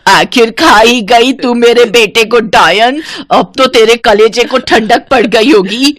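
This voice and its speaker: A woman playing a spiteful, shouting mother-in-law. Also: slow, dry recording, studio quality. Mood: furious. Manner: shrill, scolding, cursing. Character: harsh middle-aged mother-in-law, spiteful.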